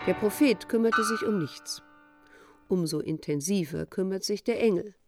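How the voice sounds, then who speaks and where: A woman acting as a composed radio-play narrator with a fairly low voice. She chronicles events in a faintly ironic, measured way over soft music. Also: lightly acted. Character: composed female narrator chronicling a prophet's rise.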